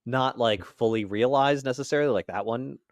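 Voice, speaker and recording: A man's voice: medium pitch, brisk, no room sound, studio quality. A man co-hosting a podcast, chatting casually about pop culture. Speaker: podcast co-host.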